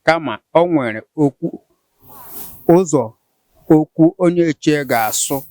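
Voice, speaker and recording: An older Nigerian man with an Igbo accent, a local chief answering interview questions in a measured, explaining way. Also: a little high, mellow, dry recording.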